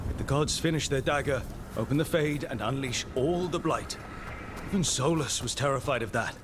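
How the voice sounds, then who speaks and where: An actor playing an earnest, urgent young adventurer leader in a fantasy game, with noisy surroundings behind him. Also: male voice, mid-range voice, moderate pace, natural voice. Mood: determined. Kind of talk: fantasy game dialogue acting. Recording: loud ambient noise behind.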